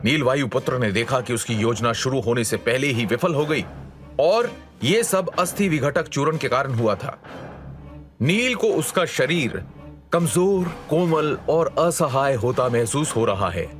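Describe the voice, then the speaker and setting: A man's voice: medium pitch, lively intonation, a little slow, faint music behind. A man narrating a scripted dramatic story as a storyteller, in a suspenseful manner.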